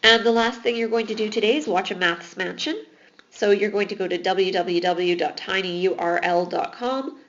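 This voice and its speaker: A woman's voice: medium pitch, a bit bright, dull audio. A woman teaching math in a recorded lesson, explaining patiently while losing her voice. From Alberta, Canada.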